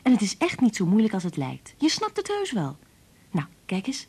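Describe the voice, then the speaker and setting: A kind, protective scientist mother in a radio drama. Her voice is husky and very melodic, rising and falling widely as she patiently explains. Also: medium pitch, wildly swooping pitch, exaggerated voice. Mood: soothing. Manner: gentle, measured explaining. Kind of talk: Dutch radio play acting.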